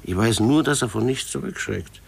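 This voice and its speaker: A man acting a wary, flattering henchman in a 1950s German radio drama, deferential and halting. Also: medium pitch, vocal fry, husky, exaggerated voice.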